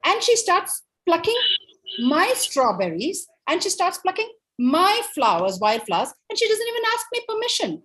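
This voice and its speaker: A woman's voice: fairly high pitch, expressive, measured pace, rough recording. A woman voicing an indignant male wolf in a told story, protesting with emphatic, aggrieved questions. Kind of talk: animated oral storytelling.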